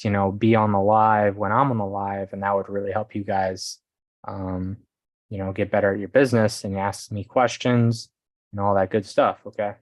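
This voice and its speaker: A man's voice: fairly low pitch, no echo. A man hosting a casual coaching livestream, rambling along and often checking in with his listeners. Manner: rambling, often tags sentences with "okay" and "guys". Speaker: host of a business coaching livestream.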